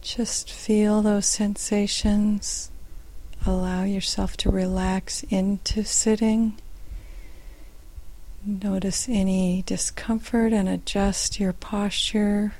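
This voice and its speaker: Slow, mellow woman guiding meditation. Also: mid-range voice, flat delivery, poor audio. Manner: gentle, unhurried, soothing instruction with long pauses. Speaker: meditation guide. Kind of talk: guided meditation.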